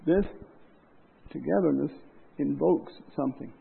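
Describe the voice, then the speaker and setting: A man preaching a sermon, impassioned, rising to loud emphasis and dropping low and quiet. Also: unhurried, creaky, slightly rough. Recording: tinny phone-like lo-fi sound.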